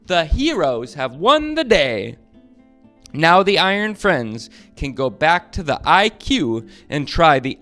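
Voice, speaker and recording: A man reads a children's book aloud. His voice is a little high and swings widely with dramatic, expressive emphasis. Soft music plays underneath. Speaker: storybook narrator. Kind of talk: animated children's read-aloud narration.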